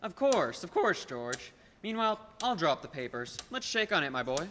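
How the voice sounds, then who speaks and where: Young man playing a bright-voiced, scheming tycoon in an echoey hall. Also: fairly high pitch, very animated. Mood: coaxing. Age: young adult. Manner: patronizing, persuasive, deliberate emphasis. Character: scheming rich businessman, condescending, smooth-talking and domineering. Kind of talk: filmed radio play acting. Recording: echoey hall, background noise.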